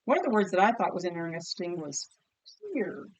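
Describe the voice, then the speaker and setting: A woman's voice: on the low side, animated, a little fast. A woman teacher leading a read-aloud vocabulary lesson with children, encouraging and questioning, enunciating words for pupils to repeat.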